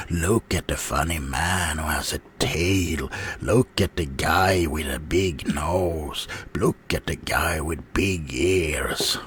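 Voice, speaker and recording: A man voicing a sulky, offended male werewolf in an ASMR roleplay, halting and aggrieved, often dropping into whispers. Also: very deep voice, slight background noise. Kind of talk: ASMR character roleplay monologue.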